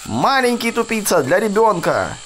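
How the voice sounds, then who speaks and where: A man's voice: high voice, very animated, normal speed, a bit bright, voice pushed up. A man voicing a bossy, short-tempered lumberjack toy in a children's game, barking and insulting. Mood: irritated.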